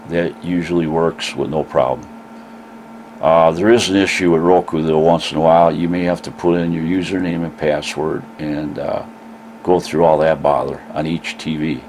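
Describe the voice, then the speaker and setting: Older Midwestern man, scratchy and very deep, unhurried rambling vlogger, a faint hiss behind him. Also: darkish tone. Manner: rambling, with many "uh" pauses. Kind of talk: casual vlog talk to camera.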